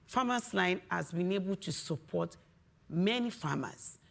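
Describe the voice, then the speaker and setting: A woman from Nigeria whose first language is Yoruba, speaking as a panelist and answering slowly, with pauses between phrases. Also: fairly low pitch, animated, vocal fry. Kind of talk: panel discussion.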